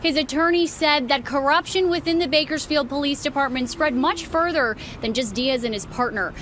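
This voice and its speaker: An American woman with a fairly high voice delivers broadcast news reports. Her delivery is emphatic and deliberate. Clear location noise with vehicle ambience sits behind her. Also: fairly bright tone.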